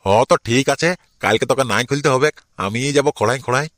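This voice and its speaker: A man's voice: mid-range voice, rapid-fire, fairly bright tone, no room sound, lightly acted. A man playing an old, placating village grandfather in an amateur cartoon, with a Purulia Bengali accent. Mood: gently persuading. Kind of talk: amateur cartoon comedy dialogue.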